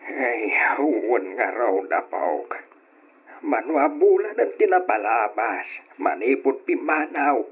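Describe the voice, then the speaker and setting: Slow, husky old grandfather. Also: mid-range voice, sing-song, full character voice. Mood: weary. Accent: Ilocano. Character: slow-talking old grandfather of two orphaned teenagers. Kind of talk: old Ilocano radio drama acting. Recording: dull lo-fi radio drama recording.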